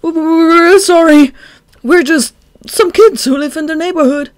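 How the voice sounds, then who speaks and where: A lilting, teasing young man who jokes about death as easily as breathing, his voice riding well above a grown man's usual pitch, as a male narrator puts him on. He swings into whiny, exaggerated fear when it suits him. The storybook voice sits dry and close. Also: very animated, normal speed, darkish tone, no echo. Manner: light, teasing, feigns trembling fear.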